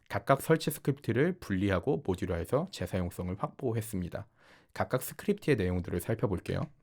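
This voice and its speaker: Guy teaching a coding tutorial, lowish voice that moves up and down a lot, polite and step by step. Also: a little mellow. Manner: explanatory, step-by-step, polite formal speech. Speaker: programming instructor. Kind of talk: tutorial narration over screen demonstration.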